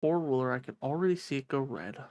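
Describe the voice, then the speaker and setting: A woman's voice: extremely low pitch, animated, fast, a little mellow, dull audio. A woman streamer reading a visual novel aloud in a theatrical storytelling manner.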